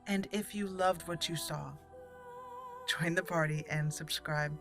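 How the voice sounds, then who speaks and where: Female gaming host, bright and slightly low-pitched, chatty, playful casual commentary. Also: fairly even intonation, measured pace, faint music behind. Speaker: let's play host. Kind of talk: casual gameplay commentary with some roleplay.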